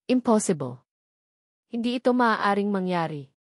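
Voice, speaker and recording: A woman's voice: mid-range voice, expressive, a little slow, dry recording, studio quality, natural voice. A woman narrator voicing a wounded, guarded woman doctor in a story, curt and firm. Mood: anxious. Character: wounded woman doctor, cold and guarded toward former lover.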